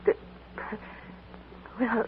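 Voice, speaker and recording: A woman's voice: drawn-out words, narrow, tinny audio, a little hiss or hum. An actress playing a young woman whose sweetheart has come home from war, in emotional 1940s radio acting on an old broadcast recording. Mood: hurt. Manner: emotional, breathless and pleading. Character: young woman waiting for her soldier sweetheart, heartbroken.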